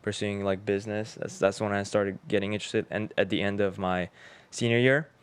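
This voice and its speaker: Very clear, smooth young man, casual guest. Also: on the low side, fairly even intonation, a little fast. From Tashkent, Uzbekistan. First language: Russian. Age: 20s. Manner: informal, slangy. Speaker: podcast guest, realtor. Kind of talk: casual chat, telling own story.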